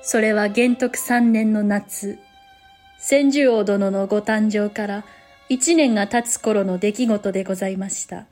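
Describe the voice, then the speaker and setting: A woman's voice: medium pitch, a little slow, a little mellow, soft background music, lightly acted. A female actor narrating a historical audio drama as a courtly noblewoman, in polite, formal storytelling language.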